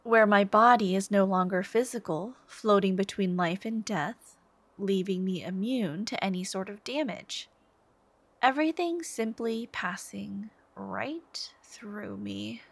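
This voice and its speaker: A woman voicing an obsessive, devoted, soft-spoken supervillain in a scripted ASMR roleplay, intimate and menacing, with phrases trailing into whispers. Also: medium pitch, no room sound. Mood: coldly proud. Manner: slow, intimate, breathy sighs and whispered phrase endings.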